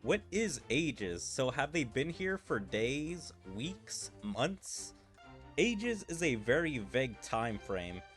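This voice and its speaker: A man doing casual gaming commentary as a streamer, thinking aloud in a musing, speculative way. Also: lowish voice. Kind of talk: casual commentary, reading game dialogue aloud. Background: game music.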